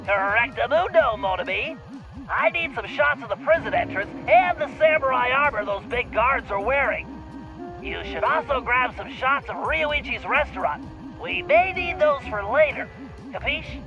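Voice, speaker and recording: A brainy cartoon turtle strategist with a very high, nasal voice. He briefs in a fussy, precise way over a dull radio link, with faint music underneath. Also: lively intonation, moderate pace. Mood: businesslike. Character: nerdy, brainy male turtle gadget expert and strategist. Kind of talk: animated video game acting. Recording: band-limited radio-like sound, faint music behind.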